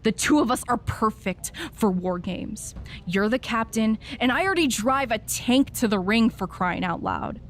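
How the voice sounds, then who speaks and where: A rebellious female wrestler in a video game, with a fairly high voice. She is cocky, eager and goading, always pushing for chaos. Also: sing-song, moderate pace, soft background music. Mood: brash, excited. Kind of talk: video game cutscene acting.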